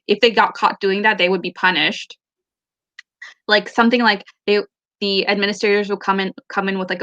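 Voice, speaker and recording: A woman whose first language is Tamil talks through a book club discussion over a video call, picking her way through her thoughts with fillers and restarts. Her voice is bright and a little tense. The call audio is dull and lo-fi. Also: mid-range voice. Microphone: laptop or phone mic on a video call.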